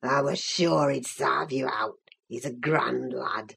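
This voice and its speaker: A woman voicing a spiteful old male servant with a croaking Yorkshire accent and a gloating cackle. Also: very deep voice, fairly bright tone, pitched below the performer's own voice, full character voice. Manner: slow, mocking dialect speech. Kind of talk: audiobook character reading.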